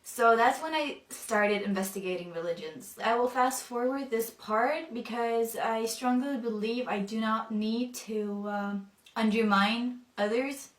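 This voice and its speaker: A young woman, not a native English speaker, telling her personal testimony thoughtfully, with many pauses and fillers. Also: mid-range voice, echoey room, far from the mic. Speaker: convert telling her story.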